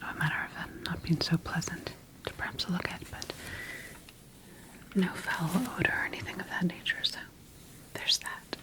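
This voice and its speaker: A woman whispering an ASMR sci-fi roleplay as a calm, clinical scientist, breathy and deliberate in a reassuring way. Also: fairly low pitch, rough recording. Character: calm, clinical secret military scientist examining her creation.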